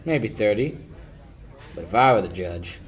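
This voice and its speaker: A man's voice: on the low side, lively intonation, unhurried. A young male amateur actor playing a cocky, wisecracking sleuth with a confident, needling manner in a 1940s radio drama style. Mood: wry, relaxed. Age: young adult. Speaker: amateur high school actor.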